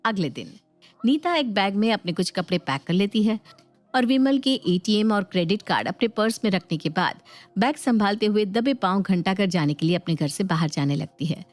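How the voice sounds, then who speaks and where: A woman's voice: mid-range voice, animated, normal speed, soft background music. A woman reading scripted narration for a moral story as its narrator.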